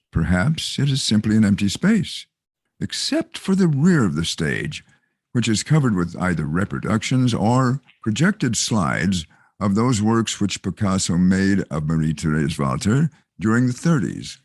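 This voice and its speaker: Slow, expressive male narrator. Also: sits low, dry recording, clean studio sound. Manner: measured, theatrical. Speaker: narrator reading stage directions. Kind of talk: scripted dramatic narration.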